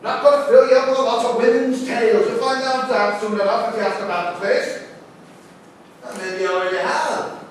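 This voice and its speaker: A man acts out a gruff older countryman in a stage play, plain and admonishing. His voice sits high for a man and reaches a distant mic through heavy theatre echo, with faint noise. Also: fairly even intonation, brisk. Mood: blunt warning.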